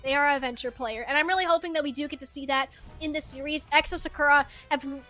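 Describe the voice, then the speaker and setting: A woman's voice: sits high, fairly even intonation, fast talker, sharp tone. A woman casting esports, chatting through a match preview in a playful, emphatic way. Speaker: esports broadcast caster. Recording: music playing behind. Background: background music.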